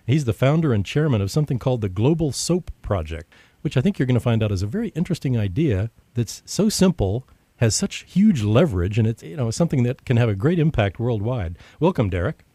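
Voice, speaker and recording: A man's voice: mid-range voice, big pitch swings, fairly quick, mellow, breathy edge, no room sound. A man hosting a radio interview show, talking conversationally with frequent fillers. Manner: conversational, many fillers and restarts.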